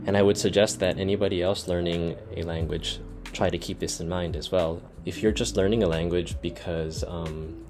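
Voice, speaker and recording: Low-voiced man explaining casually. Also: moderate pace. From United States. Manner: relaxed, conversational, many fillers like "you know". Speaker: language learning YouTuber. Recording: soft guitar music underneath.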